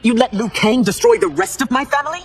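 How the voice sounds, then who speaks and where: A woman's voice: fairly high pitch, quick pace, a little hiss or hum, lightly acted. A woman voicing a proud grieving empress. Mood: bitter. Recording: loud orchestral game score behind.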